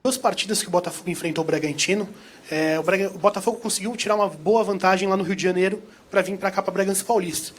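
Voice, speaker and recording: A male reporter puts a long, wandering question to a coach at a press conference. He talks quickly in a high voice. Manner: long-winded question with fillers. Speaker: sports reporter.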